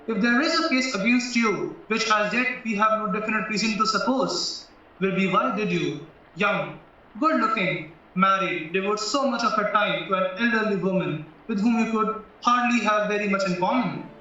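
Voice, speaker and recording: A man acting a shrewd, probing defence lawyer in an audio play, formal and deliberate in his questioning. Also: high-pitched, bright, forceful tone. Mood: measured, shrewd. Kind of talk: stage-style courtroom audio drama acting. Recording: very echoey, distant, dull, music behind.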